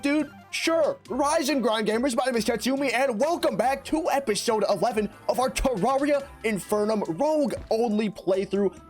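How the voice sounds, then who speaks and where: A young man with a high, raspy voice, hoarse from shouting, rattles off excitable gaming commentary full of slang. Faint game music plays behind him. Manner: excitable, slangy, fast-talking, rambling. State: hoarse from lost voice. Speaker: gaming YouTuber. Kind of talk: energetic gameplay commentary.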